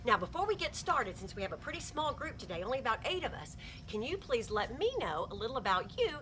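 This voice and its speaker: A woman's voice: fairly high pitch, quick pace, slight background noise. A middle-aged woman who works as a heritage interpreter, giving an upbeat, encouraging guided nature talk outdoors to a small group. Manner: upbeat, encouraging, lively speaker. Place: outdoors at a ranch.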